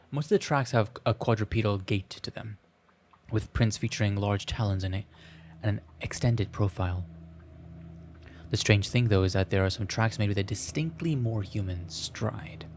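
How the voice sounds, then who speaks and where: A man hosting a game playthrough, reading a visual novel aloud with wry, self-deprecating casual commentary and a slightly scratchy throat. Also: fairly low pitch. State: scratchy throat, frequent throat clearing. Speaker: let's play host. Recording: music playing behind the voice. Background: background music.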